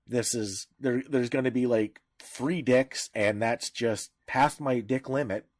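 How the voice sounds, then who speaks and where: A man's voice: medium pitch, unhurried, no room sound, studio quality. A man co-hosting a podcast in casual discussion, talking in a blunt, irreverent way. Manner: blunt, irreverent, freely profane. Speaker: podcast co-host.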